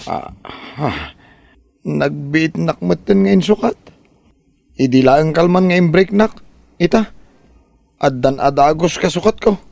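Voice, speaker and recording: A man with an Ilocano accent playing a lovesick, dejected young delivery rider in a comedy drama, halting and plaintive. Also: on the high side, lively intonation, vocal fry, a little mellow, no room sound, heavily acted. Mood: hurt, pleading. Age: young adult.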